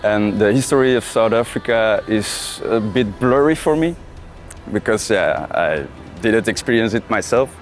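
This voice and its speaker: A man's voice: lowish voice, lively intonation, measured pace. A man from Belgium, speaking casually to camera as a tour participant. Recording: music behind the voice.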